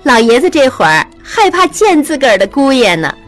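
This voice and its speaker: A woman's voice: high voice, animated, unhurried, fairly bright tone, soft background music, voice pushed up. A woman voicing an affectionate, teasing young mother. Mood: playful.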